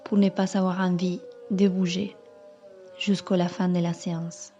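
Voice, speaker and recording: A woman yoga teacher calmly leading a guided meditation in measured, instructing phrases. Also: fairly low pitch, muffled recording, slight background noise. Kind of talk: slow guided meditation narration.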